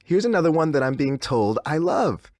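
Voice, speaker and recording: A theatrical male game radio DJ. His pitch swings widely as he keeps up an uneasy cheer. The sound is dry and close. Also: big pitch swings, moderate pace, darkish tone, dry recording. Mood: forced enthusiasm. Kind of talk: scripted radio host patter.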